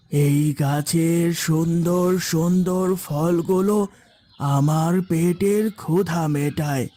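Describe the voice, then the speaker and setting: A man playing a gentle, pious old village man in a cartoon, speaking in a devout, earnest manner. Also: fairly high pitch. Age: older. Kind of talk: cartoon dialogue.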